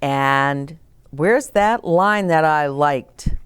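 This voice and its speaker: A woman hosting storytime for children, reading aloud and pausing to explain gently and ask the listeners questions. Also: deep voice, smooth. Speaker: children's storyteller, host of a read-aloud channel. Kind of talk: read-aloud storytime with asides to young children.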